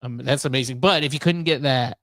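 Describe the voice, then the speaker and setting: A man's voice: medium pitch, fairly quick, a bit bright, no echo. Middle-aged American man, casual and dry-recorded. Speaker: podcast host. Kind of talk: casual chat.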